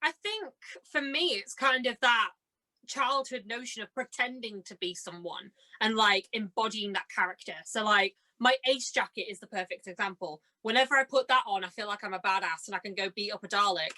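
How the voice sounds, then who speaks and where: A woman in her twenties chats casually as a podcast guest. Her voice is bright and forward, at an average pitch for a woman. She tells anecdotes in a bubbly, enthusiastic way and acts out bits of dialogue as she goes. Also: brisk. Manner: bubbly, giggly, enthusiastic storytelling with acted-out quotes. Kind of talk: casual chat, animated anecdotes.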